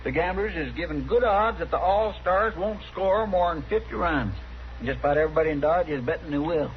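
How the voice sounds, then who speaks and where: An actor playing an earnest, excitable frontier deputy with a rural American accent and folksy, eager manner in a radio western. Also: male voice, on the high side, fairly quick, highs cut off, close to an everyday voice. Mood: hesitant. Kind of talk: old-time radio western acting. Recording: noisy vintage radio with crowd din.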